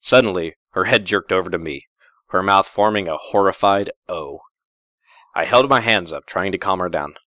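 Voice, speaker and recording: A man with a low voice narrates fiction in the first person. His delivery is measured and deliberate with a dramatic storytelling feel, and the recording is very dry. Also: pushed and bright, no echo.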